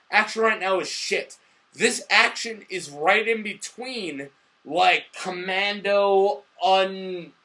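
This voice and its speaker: Drunk guy chatting about movies, bright high voice, sweary and all over the place. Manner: excitable, rambling, emphatic, swears freely. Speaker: movie review host. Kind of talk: casual profane movie chat.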